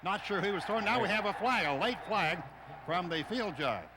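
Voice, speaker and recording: A man's voice: sits high, expressive, normal speed. An American man calling live college football on the radio in clipped, running phrases. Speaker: radio play-by-play announcer. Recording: noisy live stadium radio broadcast.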